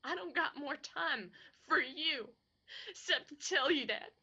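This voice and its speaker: A woman's voice: sits high, expressive, unhurried, bright, forceful tone, full character voice. Wronged mountain girl w appalachian accent, radio play, anguished, takes her time, kinda dull recording. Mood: bitter grief. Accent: Appalachian American. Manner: anguished, folksy, emphatic. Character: wounded, bitter young Appalachian woman spurning her lover. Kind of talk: radio drama acting.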